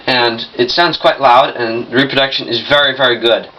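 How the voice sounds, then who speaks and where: A young man giving a casual hands-on demonstration in a halting, piecemeal way, often correcting himself. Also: medium pitch, steady tone, pushed and bright. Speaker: vintage audio collector demonstrating a machine. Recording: muffled lo-fi camcorder sound, noisy.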